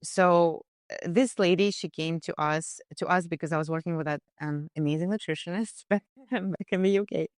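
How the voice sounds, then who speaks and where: A woman nutritionist on a podcast, describing a client case in casual, halting speech full of fillers and restarts. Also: fairly low pitch, a little mellow, no echo, clean studio sound. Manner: hesitant, many fillers and restarts. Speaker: nutritionist guest. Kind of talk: conversational case discussion.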